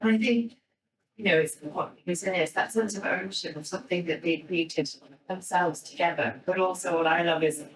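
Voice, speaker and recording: A woman's voice: on the low side, a little fast. An Irish woman speaking informally and enthusiastically as a guest speaker in a discussion with an audience. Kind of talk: conversational talk and audience discussion. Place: indoor talk before an audience. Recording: echoey room, distant microphone.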